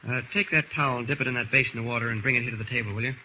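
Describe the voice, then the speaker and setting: An actor playing a calm, patient, intent reporter hero in a 1940s-style radio serial. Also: male voice, medium pitch, very fast, close to an everyday voice. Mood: calm, purposeful. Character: calm, kindly grown-up male reporter hero investigating. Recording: muffled, noisy old radio recording.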